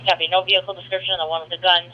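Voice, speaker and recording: Fast, high-pitched male radio dispatcher. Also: level tone. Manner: clipped, procedural. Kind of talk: terse radio dispatch, repeating reports back. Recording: noisy, muffled police radio channel.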